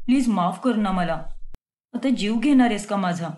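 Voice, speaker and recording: Woman doing a low-voiced young guy, pleading, fed up, cartoon story. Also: expressive, moderate pace, darkish tone, natural voice. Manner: pleading, exasperated. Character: young man, harried boyfriend-husband.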